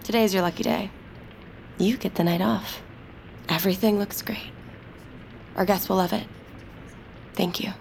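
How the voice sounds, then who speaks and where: Woman acting a capable personal assistant, courteous, stressed, medium pitch, clear background noise. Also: fairly even intonation, normal speed, long pauses, darkish tone, close to an everyday voice, poor audio. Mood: businesslike. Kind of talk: naturalistic audio drama acting.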